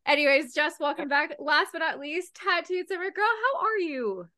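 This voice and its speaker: A woman hosting a casual podcast chat, bubbly and quick to laugh. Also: high voice, sharp tone, slight background noise. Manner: bubbly, laughs often, talks over others. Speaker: podcast host.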